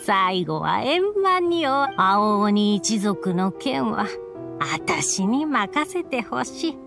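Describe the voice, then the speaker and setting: A kindly elderly granny from an anime game. She talks gently in an old-fashioned, protective way, with music clearly playing behind her. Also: mid-range voice, big pitch swings, slow, full character voice. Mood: calm, kindly. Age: older. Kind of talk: Japanese anime game voice acting.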